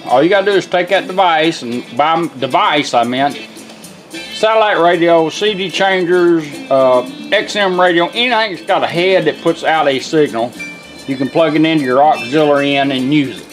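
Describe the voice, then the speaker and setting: A man's voice: on the high side, expressive, moderate pace, faint music behind. A plainspoken, folksy man giving a casual hands-on product demonstration at a workshop bench. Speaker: product demonstrator, radio restorer.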